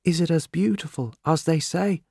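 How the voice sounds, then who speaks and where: A man's voice: a little high, normal speed, gravelly, no echo, studio quality, pitched above the performer's own voice. A man doing a timid little clerk. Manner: soft, hesitant, brief replies. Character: quiet timid small man, modest drinker.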